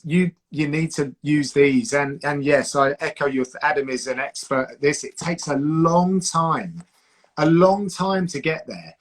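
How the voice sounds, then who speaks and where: A man with a southern English accent hosting a casual live stream, giving reflective advice on broadcasting and often restarting his sentences. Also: on the high side. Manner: reflective, coaching, with frequent restarts. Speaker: host, combat sports commentator.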